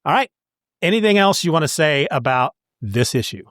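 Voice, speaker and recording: Male podcast host, higher-pitched, casual or reading promos, recorded dry. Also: sing-song, moderate pace, fairly bright tone, no echo. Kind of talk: casual chat and scripted show promos.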